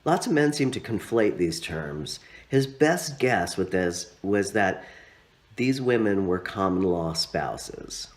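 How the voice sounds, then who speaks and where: A man with a low voice narrates a literary story he wrote. His pitch swings widely as he goes, and his phrasing is expressive and dramatic, with certain words stretched out. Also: takes it slowly. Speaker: author reading own story. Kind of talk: literary narration, read aloud.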